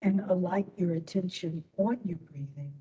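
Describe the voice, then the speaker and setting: A woman instructor leading a guided meditation in a soothing manner, with long pauses between short phrases. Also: fairly low pitch, dark tone, recorded from a distance, lo-fi sound.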